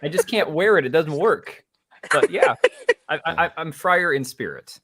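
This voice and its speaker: A man's voice: a little high, animated, normal speed, fairly bright tone, dry recording. Dry-recorded man chatting casually. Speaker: stream guest reading a part. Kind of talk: casual chat.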